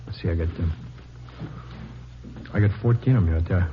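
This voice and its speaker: A man's voice: deep voice, a little fast, close to an everyday voice. A man playing a sleazy, pushy peddler. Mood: salesy. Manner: wheedling, pushy salesman. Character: sleazy male peddler of dirty books, pushy. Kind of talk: 1950s radio drama acting. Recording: dull old radio recording with hiss.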